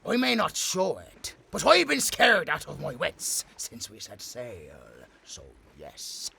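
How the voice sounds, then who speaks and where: Man acting a slow, gravelly, guarded pirate. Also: fairly high pitch, wildly swooping pitch, pushed and bright, heavily acted. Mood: uneasy confession. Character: guarded, uneasy reformed pirate, dryly pessimistic. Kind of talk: cinematic audio adventure acting.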